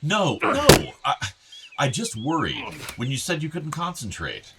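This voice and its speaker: A man's voice: on the high side, huge pitch swings, measured pace, a bit bright, a little hiss or hum, exaggerated voice. An actor playing an anxious, educated middle-aged American father in a radio play, earnest and pressing but conciliatory. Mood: anxious, pleading. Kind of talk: naturalistic radio play acting.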